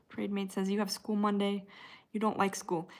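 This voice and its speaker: A woman's voice: medium pitch, monotone, a little slow, a little mellow. A woman with an American Midwestern accent, hosting a casual livestream, chatting and replying to viewers by name as she moves from one comment to the next. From United States. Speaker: livestream host reading and answering viewer chat. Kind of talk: casual live chat with viewers.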